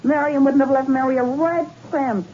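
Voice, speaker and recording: An actress playing a tough, streetwise former maid in a 1960s radio drama, sarcastic and dismissive. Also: female voice, high-pitched, steady tone, moderate pace, mellow, close to an everyday voice. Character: brassy, streetwise young woman, a former maid. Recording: dull, hissy old radio recording.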